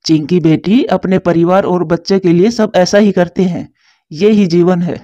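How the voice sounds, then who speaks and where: A woman's voice: lowish voice, steady tone, normal speed, mellow, dry recording, lo-fi sound, lightly acted. A woman voicing a doting, fretful father pigeon in a children's cartoon. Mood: tender.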